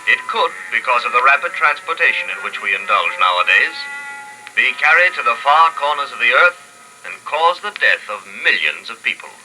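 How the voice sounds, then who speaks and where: A man's voice: medium pitch, big pitch swings, normal speed, pushed and bright, low-quality recording. An actor playing a grave scholarly man, giving formal, oratorical lecture-like narration in a 1950s radio drama. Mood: ominous. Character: grave scholarly male voice warning of extinction. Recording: vintage radio recording, music behind.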